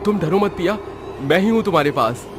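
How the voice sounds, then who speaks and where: A man playing a devoted, expressive young husband in a horror story. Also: high-pitched, brisk, background noise, voice pushed up. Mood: reassuring. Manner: expressive, dramatic.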